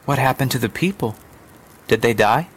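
A man's voice: medium pitch, normal speed, slight background noise, close to an everyday voice. A man voicing a young girl in a storybook, questioning and unafraid, full of curiosity. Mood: curious.

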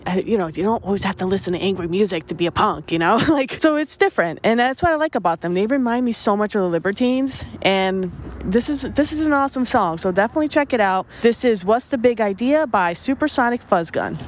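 A woman hosting a casual music podcast, her voice stuffy and congested from a cold. She talks in a loose, enthusiastic way, full of fillers and restarts, at a middling pitch. A faint noise sits behind her. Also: lively intonation, a little fast. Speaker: podcast host.

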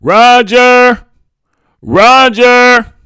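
A man voicing a folksy, blunt mother with an excitable, bossy temper, in a narrated story. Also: medium pitch, a little fast, no room sound, clean studio sound, pitched above the performer's own voice. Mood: loud, commanding. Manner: folksy, nonstandard grammar, blunt. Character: loud, frantic, devilishly nosy mother.

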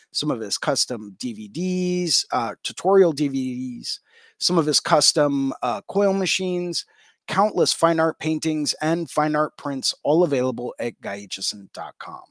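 A man's voice: fairly high pitch, dry recording, clean studio sound. A man hosting an interview show, reading sponsor thanks and welcoming a guest in an upbeat way with frequent ums. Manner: upbeat, peppered with ums and uhs. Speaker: podcast host.

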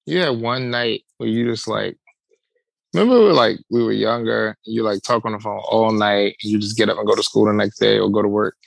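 A man's voice: lowish voice, a little fast, gravelly, dry recording, low-quality recording. A man in his forties in casual podcast chat, telling joking stories and acting out what people said. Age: 40s. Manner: animated, joking storyteller who acts out quotes. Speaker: podcast co-host.

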